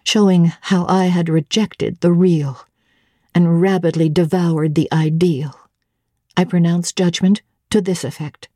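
A woman narrating classic literature as a dramatic audiobook, with theatrical, deliberate phrasing. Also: fairly low pitch, fairly even intonation, mellow, no room sound, clean studio sound.

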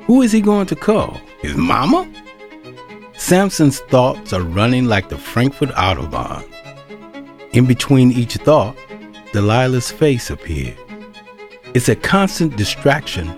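A man narrating an audio drama as a storyteller with wry asides. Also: medium pitch.

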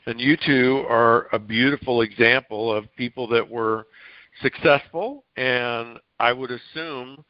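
A man hosts an interview in an encouraging, coaching tone aimed at his listeners. His voice sits at an ordinary pitch. It comes through a dry, dull, lo-fi call line. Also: a little slow, dry recording. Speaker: podcast host, interviewer. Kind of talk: casual interview chat.

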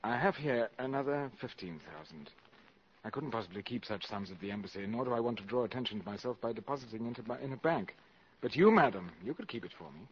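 An actor playing a calculating, ambitious valet in a 1950s radio drama, speaking formally and deferentially but with self-assurance. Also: male voice, mid-range voice, expressive, fast talker, slight background noise, natural voice. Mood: coolly persuasive. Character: smooth, calculating, ambitious valet addressing a countess. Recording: muffled vintage 1950s radio recording.

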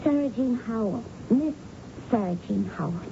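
An actress playing a nervous, doubtful waitress in a 1970s radio drama, speaking hesitantly and second-guessing herself. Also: female voice, a little high, expressive, moderate pace, gravelly. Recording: dull, noisy old radio broadcast.

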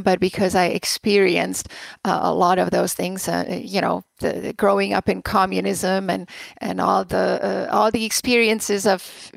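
A woman's voice: medium pitch, vocal fry, dry recording, poor audio. A woman in her forties from the Czech Republic, a guest expert answering radio interview questions with many fillers and restarts. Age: 40s.

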